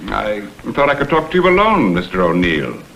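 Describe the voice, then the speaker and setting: An actor playing a smooth, insinuating blackmailer who poses as a humble go-between, with florid, theatrical phrasing, in a vintage crime drama. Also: male voice, on the low side, huge pitch swings, normal speed, a bit bright, exaggerated voice. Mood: oily, pleading. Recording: vintage TV soundtrack, faint noise.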